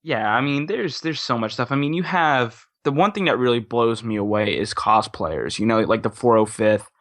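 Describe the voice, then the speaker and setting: A man's voice: medium pitch, expressive, moderate pace, a bit bright, no room sound. A young man in casual, enthusiastic conversation, rambling earnestly and repeating himself as he goes. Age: young adult.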